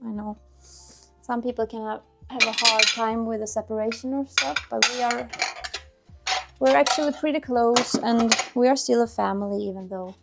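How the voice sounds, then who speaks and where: A Swedish-accented woman chats to camera in her home kitchen, a little high in pitch with a gravelly fry. She wanders through her thoughts, restarts sentences and hunts for words. Dishes clink and music plays clearly behind her. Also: normal speed. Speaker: cleaning vlogger. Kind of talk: casual chatty talk to camera while cleaning. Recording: music playing underneath. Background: dishes clinking, quiet music.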